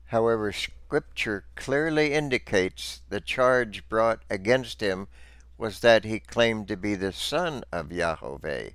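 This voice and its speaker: A man delivering scripted religious teaching narration and scripture readings in a formal, deliberate, instructive manner. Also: on the low side, lively intonation, a bit bright, clear, resonant tone, no room sound.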